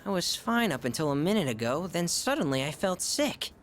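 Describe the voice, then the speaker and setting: A woman's voice: lowish voice, very animated, brisk, slight background noise. A woman playing a boastful, eccentric young glutton in a video game. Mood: jovial, grandiose.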